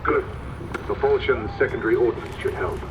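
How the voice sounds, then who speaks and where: An actor playing a brisk knight commander over radio in a video game, formal, clipped and authoritative. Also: male voice, mid-range voice. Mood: commanding. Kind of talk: video game cinematic acting. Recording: muffled noisy distant radio link.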